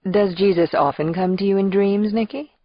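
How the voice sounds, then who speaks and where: A woman's voice: mid-range voice, normal speed, dull audio, pitched above the performer's own voice, lightly acted. A woman playing a composed, sceptical female lawyer with formal, pointed questioning.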